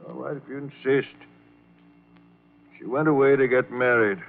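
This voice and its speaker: A man's voice: mid-range voice, steady tone, moderate pace, creaky, natural voice. An actor playing a folksy older man breaking hard news to a younger man in a 1940s radio drama, with rural diction. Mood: reluctant, explaining. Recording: tinny old radio broadcast recording.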